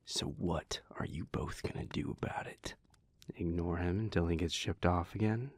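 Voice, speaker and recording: A man voicing a bitter male vampire in an audio roleplay, talking bluntly and irritably with plenty of swearing, in a gravelly, breathy voice. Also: sits low, normal speed, no echo. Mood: curt, fed up. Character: bitter young-turned male vampire, the listener's partner.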